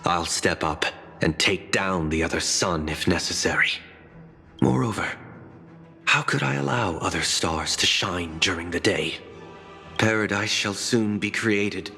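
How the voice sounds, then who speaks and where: A man's voice: low-pitched, a little slow, creaky, pushed and bright, soft background music, exaggerated voice. An actor voicing a proud, zealous would-be god-king in a video game, declaiming in a grandiose way. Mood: defiant, resolute. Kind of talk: dramatic video game voice acting.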